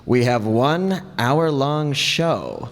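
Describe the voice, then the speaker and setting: A man's voice: medium pitch, animated, drawn-out words. A man giving a casual, joking live announcement as director of an amateur theater group. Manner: informal, joking. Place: town hall stage, before an audience. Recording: echoey town hall live recording.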